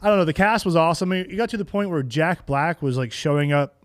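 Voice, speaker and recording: A man's voice: fairly high pitch, quick pace, no echo, studio quality. A man co-hosting a podcast, chatting casually and enthusiastically in loose slang about movies. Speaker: podcast co-host. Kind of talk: casual banter about video game movies.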